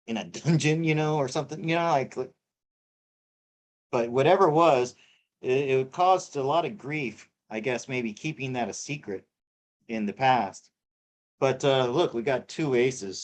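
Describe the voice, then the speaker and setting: A middle-aged man chatting on a live tarot stream, his voice sitting at an ordinary middle pitch. He talks in halting bursts, repeating words and leaning on lots of fillers as he thinks aloud. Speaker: co-host reading tarot cards. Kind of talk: casual live-stream chat.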